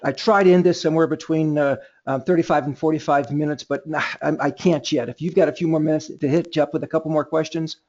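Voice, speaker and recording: Male conference call moderator, slightly high pitch, halting repetitive phrasing, informal coaching tone, lo-fi audio. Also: steady tone, a little mellow. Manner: halting, repeats words and phrases. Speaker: host of a sales team conference call. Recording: dull, lo-fi conference call line.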